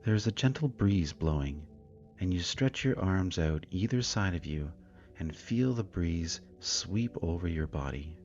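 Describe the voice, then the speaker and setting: Deep-voiced man guiding a slow meditation. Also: highs cut off. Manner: calm, soothing, deliberate phrasing. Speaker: guided meditation narrator. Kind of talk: scripted guided meditation narration. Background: meditation music with singing bowls.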